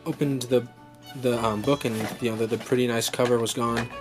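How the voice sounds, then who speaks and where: A man's voice: mid-range voice, fairly even intonation, a little fast. A young adult man hosting a vlog, talking in a casual, unscripted, rambling way as an enthusiastic fan who often corrects himself. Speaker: vlog host. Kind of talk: casual unscripted unboxing chat. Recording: music playing behind the voice. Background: background music.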